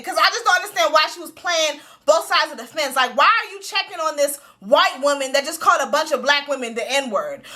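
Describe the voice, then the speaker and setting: A woman hosting her own show, giving blunt, animated, profanity-laced commentary on a reality series. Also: high-pitched.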